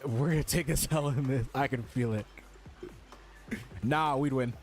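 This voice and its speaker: A man's voice: medium pitch, unhurried. A man doing casual, profane gaming commentary, joking and reacting in slang. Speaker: gamer reacting to a story quest. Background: faint game music.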